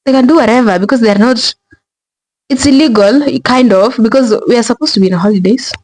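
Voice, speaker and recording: A woman's voice: a little high, fairly quick, darkish tone, dry recording, rough recording. Young Kenyan woman vlogger, chatty and rambling, close dry mic. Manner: rambling, many restarts and filler words. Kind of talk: casual commentary to viewers.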